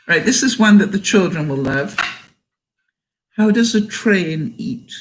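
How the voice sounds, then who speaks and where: Older woman, Canadian accent, hoarse scratchy voice, unhurried delivery, laughs at her own jokes. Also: medium pitch, expressive. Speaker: vlogger. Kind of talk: reading jokes aloud in a casual vlog.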